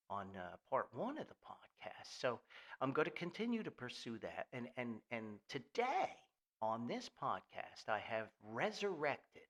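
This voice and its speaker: An older American man hosting a podcast in a rambling, nostalgic monologue, folksy, halting and enthusiastic. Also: mid-range voice, a bit bright. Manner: folksy, halting, enthusiastic, refers to himself by name. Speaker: podcast host.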